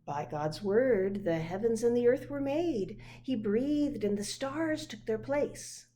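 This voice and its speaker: Lady playing a patient parent in a kids book, really slow, gentle and reassuring. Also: fairly high pitch, lively intonation. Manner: measured, reassuring. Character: gentle, patient parent answering a young child. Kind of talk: children's picture book read aloud.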